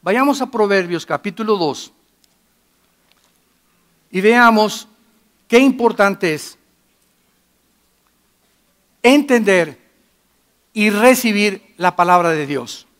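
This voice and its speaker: A middle-aged man preaching a sermon in a church, emphatic and moving between loud and hushed delivery. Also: high voice, animated, slow pace, long pauses. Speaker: pastor.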